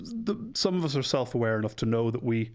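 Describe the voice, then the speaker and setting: A man's voice: mid-range voice, big pitch swings, quick pace. A man in his forties with an Irish accent, a doctor chatting openly as a podcast guest and thinking aloud as he goes. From Ireland. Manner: chatty, self-deprecating, thinks aloud with restarts. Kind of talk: casual podcast interview.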